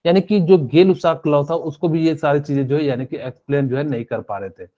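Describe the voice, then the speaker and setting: A man's voice: on the high side, a little fast, mellow, highs cut off. A male chemistry teacher giving a live online class, explaining step by step with many fillers and asking students questions.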